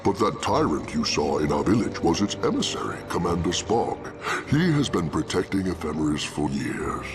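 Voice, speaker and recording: An actor playing a grave older male villager in an animated game cutscene, speaking like a solemn, measured storyteller over music. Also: low-pitched. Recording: music behind the voice.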